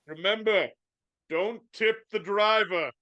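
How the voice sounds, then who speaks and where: A man's voice: high-pitched, takes it slowly, sharp tone, dry recording, highs cut off, heavily acted. A man reading the part of a hearty, declamatory older-style boss in a live script reading. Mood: pompous. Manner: declamatory, grandly addressing listeners. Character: hearty male headmaster and festive employer, declaiming. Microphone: video call.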